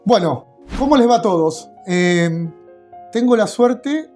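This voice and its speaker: A man's voice: high-pitched, lively intonation, slow pace, faint music behind. A man with a Rioplatense accent hosting an interview in his guest's home, friendly and chatty, speaking to viewers. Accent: Rioplatense Spanish. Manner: warm, chatty, addresses viewers. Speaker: host, interviewer. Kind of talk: enthusiastic casual interview.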